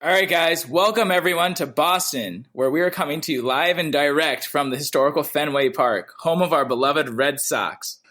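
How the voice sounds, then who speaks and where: A man's voice: a little high, moderate pace, few pauses, sharp tone. A man in his thirties from Cincinnati, a cardiology fellow chatting upbeat and jokey on a podcast. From Cincinnati, USA. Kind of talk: casual podcast chat.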